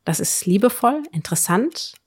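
A woman's voice: mid-range voice, big pitch swings, moderate pace, darkish tone, slightly rough. A woman hosting a book podcast, giving recommendations in an enthusiastic, emphatic way. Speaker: book podcast host and reviewer. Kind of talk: book recommendation, partly scripted, partly conversational.

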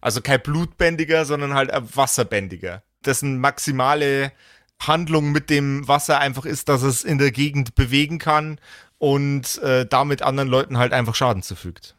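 A man's voice: medium pitch, moderate pace, fairly bright tone, no room sound, clean studio sound. A man talking casually as a game master, explaining rules in colloquial language with blunt hypothetical examples. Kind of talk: casual tabletop character-creation discussion.

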